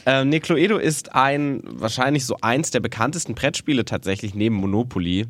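A man's voice: mid-range voice, fairly quick, no room sound. Male podcast host with a cold, playful and joking, dry close sound. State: stuffy with a cold. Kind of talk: casual chat.

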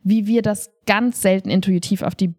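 Eine Frau in ihren Dreißigern spricht als Co-Moderatorin eines Podcasts locker, offen und nachdrücklich. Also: female voice, medium pitch, fairly even intonation, moderate pace, a little mellow, no room sound, clean studio sound. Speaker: podcast co-host, improv performer. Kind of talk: casual conversational podcast talk.

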